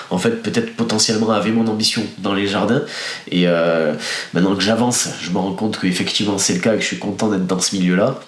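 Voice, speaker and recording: A young man with a cold, a start-up founder talking candidly and with energy in a casual podcast interview. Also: deep voice. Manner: energetic, candid, many restarts. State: has a cold. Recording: roomy echo.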